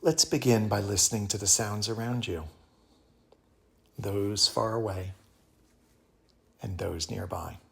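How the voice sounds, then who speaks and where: A man leading a guided meditation as a yoga teacher, speaking calmly and soothingly in measured phrases with pauses. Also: on the low side, creaky.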